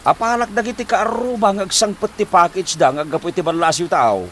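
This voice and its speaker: An actor playing a scheming husband who coaxes his wife to work abroad, speaking with an Ilocano accent in a lively, pushy way. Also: high voice, fairly quick, slight background noise, natural voice. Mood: coaxing. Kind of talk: comedy drama acting.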